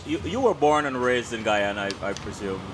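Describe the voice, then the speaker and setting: A man hosting a talk show interview, speaking in a measured, formal way as he introduces his guest. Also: mid-range voice, lively intonation, crowd noise. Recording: noisy background hum.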